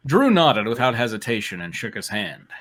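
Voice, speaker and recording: Male narrator-commentator for comic fan fiction reading, mid-pitched, forward and bright. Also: big pitch swings, moderate pace.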